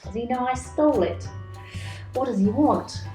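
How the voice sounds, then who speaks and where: Woman acting storybook magpie, chatty reformed thief, nosy, expressive, soft-edged tone, echoey room. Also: a little high, moderate pace, faint music behind, lightly acted. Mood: curious, puzzled.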